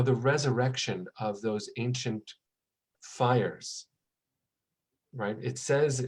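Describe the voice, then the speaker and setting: A man's voice: mid-range voice, slightly rough. A man in his forties, a rabbi and author, talking in a conversational interview and telling stories that act out the people he quotes. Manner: warm storytelling, acts out quotes.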